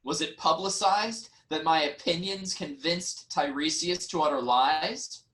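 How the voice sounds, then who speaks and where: A man playing a wronged, reasoning nobleman in a formal, measured reading of ancient Greek tragedy. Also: on the high side, a bit bright. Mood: wounded, indignant. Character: wronged, reasoning nobleman, brother-in-law of the king.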